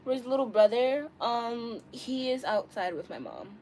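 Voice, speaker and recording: A woman's voice: fairly high pitch, sing-song, measured pace, a little mellow. A woman in her early twenties chatting casually to live-stream viewers in a candid, slangy, rambling way. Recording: clearly noisy room ambience.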